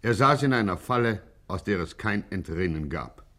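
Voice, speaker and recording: An actor narrating a vintage German radio crime satire with mock-dramatic suspense. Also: male voice, low-pitched, animated, moderate pace, sharp tone. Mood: mock-grave suspense. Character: mock-grave narrator of a gangster serial.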